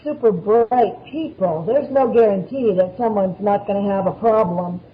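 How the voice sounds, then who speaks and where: A woman's voice: mid-range voice, moderate pace. A woman guest chatting casually on a panel in an anecdotal, storytelling way. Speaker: podcast guest. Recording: muffled lo-fi audio, faint background noise.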